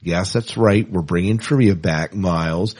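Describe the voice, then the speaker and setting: A man's voice: sits low, unhurried, a little mellow, slightly rough. A man co-hosting a podcast in casual chat, with a playful, teasing way of talking. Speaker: podcast co-host.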